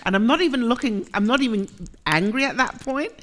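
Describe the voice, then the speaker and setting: A middle-aged woman from the Caribbean who grew up in Germany, speaking as a professor on a lecture panel in a reflective, animated way, laughing easily. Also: on the high side, brisk. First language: English, Caribbean variety. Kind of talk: panel discussion.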